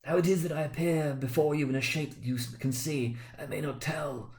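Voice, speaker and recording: A man voicing an anguished, solemn ghost of a dead businessman in a storybook reading, wailing and declamatory, with drawn-out words. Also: fairly high pitch, fairly even intonation, darkish tone, pitched above the performer's own voice, close to an everyday voice. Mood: solemn warning.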